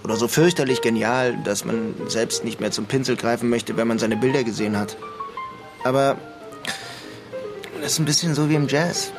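An actor playing a melancholy young German emigrant painter in New York, understated and wry, in a radio drama. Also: male voice, mid-range voice, moderate pace, over music, lightly acted. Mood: reflective. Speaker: protagonist and narrator of a radio crime drama. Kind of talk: German radio drama, introspective narration and dialogue.

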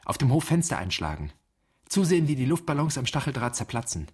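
An actor playing the restless, doubting inner voice of a son in a poetic radio monologue, vivid and searching. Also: male voice, mid-range voice, very animated, fast talker, slightly rough. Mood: urgent remembering.

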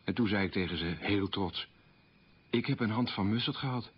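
A troubled middle-aged man looks back on his boyhood with candour in a radio play. His voice is deep and husky, his telling plain and anecdotal. The recording sounds dull and dated. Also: normal speed. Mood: rueful. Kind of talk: radio play monologue in interview manner. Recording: muffled old radio recording.

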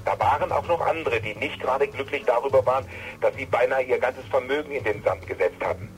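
A man's voice: medium pitch, a little fast, lightly acted, low-quality recording. Radio drama: actor as male suspected lover, medium register, coaxing, persuasive, insistent. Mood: defensive. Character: smooth-talking, persuasive male lover under suspicion. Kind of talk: naturalistic German radio drama acting.

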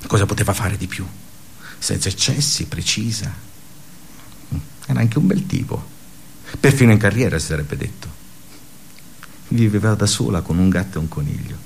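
An actor playing a bitterly sardonic office worker in a theatrical radio drama monologue, pensive and pausing. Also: male voice, fairly low pitch, creaky, slight background noise. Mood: dry sarcasm. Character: bitterly sardonic male office worker brooding on colleagues' suicides.

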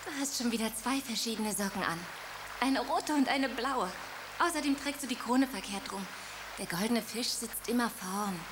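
An actress playing a bossy, dreamy young princess who narrates a radio drama with lively storytelling and scolding. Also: female voice, on the high side, moderate pace, noisy background, close to an everyday voice.

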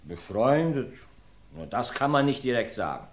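A man's voice: mid-range voice, very animated, normal speed, lightly acted. An actor playing a talkative rustic farmer who rambles through his testimony in a radio play. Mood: folksy. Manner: folksy, rambling storyteller. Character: rustic male farmer, chatty court witness. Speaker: witness in a courtroom. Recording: roomy studio.

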